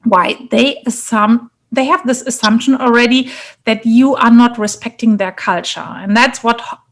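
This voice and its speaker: A woman's voice: a little high, steady tone, moderate pace. A woman from Germany with a German accent, answering in a conversational interview and often checking for agreement as she searches for phrasing. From Germany, Munich. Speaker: interview guest, leadership coach and businesswoman.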